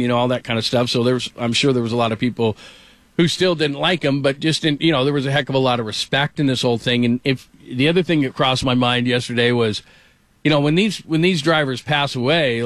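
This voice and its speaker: A middle-aged man talking on radio in a loose, rambling way, jumping between thoughts and restarting sentences. His voice sits at an ordinary pitch and sounds close and dry, with no room around it. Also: dry recording. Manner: rambling, animated storytelling with quick restarts. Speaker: radio host. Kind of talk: casual talk radio chat.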